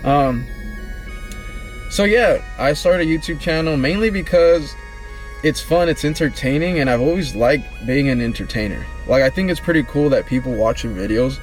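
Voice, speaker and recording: A man in his twenties, vlogging in a relaxed, rambling, slangy way, answering questions about himself. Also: on the high side, moderate pace, faint music behind, rough recording. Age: 20s. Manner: laid-back, rambling, slangy. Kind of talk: casual get-to-know-me chat.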